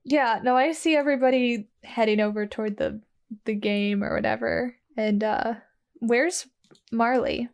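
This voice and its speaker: A woman's voice: a little high, steady tone, a little slow, vocal fry, darkish tone, clean tone. A woman playing a tabletop game, chatting in an easygoing, casual way. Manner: easygoing, chatty, laughs often. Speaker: player.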